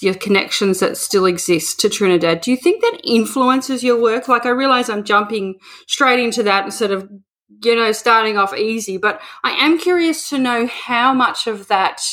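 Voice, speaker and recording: A woman's voice: medium pitch, fairly bright tone. Brief: woman host, chatty interviewer, loose rambling questions. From Australia. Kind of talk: casual interview.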